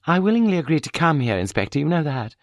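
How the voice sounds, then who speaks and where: A man voicing a sharp-tongued, sardonic middle-aged woman academic in an audiobook. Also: a little high, very animated, quick pace, no echo, muffled recording, voice pushed up. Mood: dry, wry. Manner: wry, sardonic.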